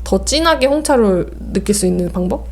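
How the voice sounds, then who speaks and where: A woman's voice: mid-range voice, animated, a little fast, a crowd in the background. A woman podcast host chatting casually and explaining things step by step. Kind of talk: casual chat, sharing tea recipes.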